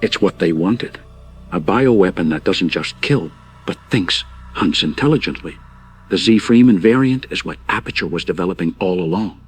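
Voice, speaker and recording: An actor playing a grim informant in an audio drama, speaking in grave, measured and emphatic warnings. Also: male voice, sits low, lively intonation, husky, soft background music. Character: grim male informant warning of bioweapon outbreak.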